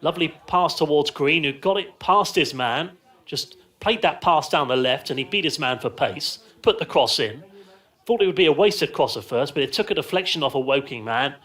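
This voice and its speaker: A man commentates live on a football match for radio. His voice sits a little higher than average. He speaks in short, descriptive bursts that follow the ball, calling it from a stand at the ground. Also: animated, normal speed, fairly bright tone. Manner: short clipped descriptive phrases, lifting with the play.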